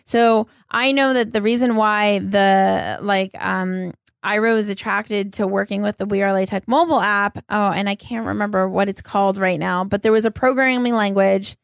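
A woman's voice: medium pitch, fairly even intonation, normal speed, creaky, few pauses, dry recording, studio quality. A woman with an American accent, hosting a casual interview in an enthusiastic, informal, chatty way. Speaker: podcast host.

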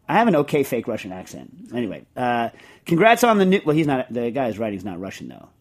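A middle-aged man hosts a podcast in a loose, rambling way. He piles on fillers and restarts as he works through technical points step by step. His pitch sits in an ordinary middle range. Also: sing-song, quick pace, creaky. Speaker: podcast host. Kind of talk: casual chat and technical explanation.

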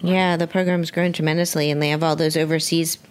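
A woman hosts a relaxed radio interview in a voice a little lower than most. She speaks with enthusiasm and often doubles back on words, as in real conversation. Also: clear, resonant tone. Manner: enthusiastic, halting with repeated words. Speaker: radio show host and interviewer.

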